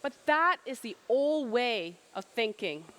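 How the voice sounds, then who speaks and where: A woman's voice: a little high, lively intonation, slow pace, fairly bright tone. Emphatic middle-aged woman giving a keynote. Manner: emphatic, asks rhetorical questions. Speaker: trade fair presenter. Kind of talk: keynote presentation.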